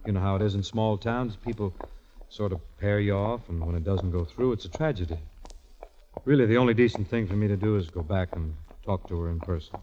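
A man's voice: fairly low pitch, fairly quick, mellow, close to an everyday voice. An actor playing an earnest, impulsive young man in love, in 1940s radio drama style. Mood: sober, resolved. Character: earnest lovestruck young male songwriter, impulsive and pleading. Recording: dull vintage radio recording, music behind.

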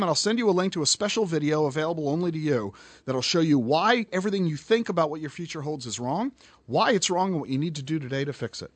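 Lively, emphatic radio finance host. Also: male voice, fairly high pitch, dry recording. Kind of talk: radio finance talk show.

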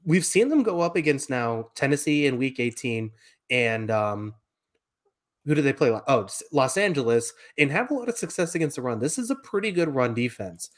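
A man's voice: mid-range voice, expressive, normal speed. Man talking football analysis. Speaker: sports podcast co-host. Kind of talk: conversational American football analysis.

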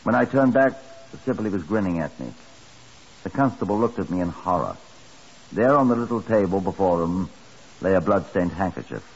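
A grave Scotland Yard chief inspector in a 1950s radio drama. His voice is deep and his measured delivery swings widely in pitch. The recording is muffled. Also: lively intonation, slightly rough, heavily acted. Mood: grave, narrating.

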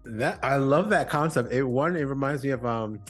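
A man's voice: medium pitch, moderate pace, faint music behind. A man hosting a podcast, reflecting aloud and asking long, winding questions in a casual tone. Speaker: podcast host.

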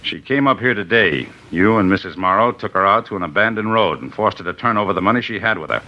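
An actor playing a stern male district attorney who presses a suspect hard with firm, steady questions. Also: on the low side, fast talker. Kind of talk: 1950s radio crime drama acting. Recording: dull old radio broadcast recording.

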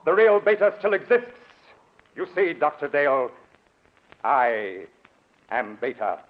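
A menacing robot villain in human guise, with a fairly high-set male voice. He speaks with grand, deliberate menace in old radio-drama style, through a tinny, narrow vintage recording. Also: big pitch swings. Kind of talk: 1940s radio drama acting.

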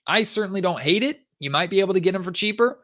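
Bright-voiced man hosting a sports podcast. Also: high voice, animated, fast talker. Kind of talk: conversational basketball commentary and analysis.

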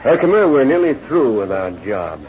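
Actor as a menacing Western outlaw boss. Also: male voice, medium pitch, big pitch swings, moderate pace, close to an everyday voice. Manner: curt, sneering, threatening. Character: cold, menacing male outlaw boss holding captives. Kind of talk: 1950s radio western acting. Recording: dull old radio recording, faint noise.

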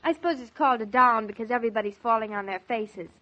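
An actress playing a naive, earnest young newlywed wife with an American accent in a 1940s radio drama. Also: female voice, on the high side, fast, close to an everyday voice. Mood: naive, curious. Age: young adult. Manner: ingenuous, earnest, questioning. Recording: dull, lo-fi 1940s radio recording.

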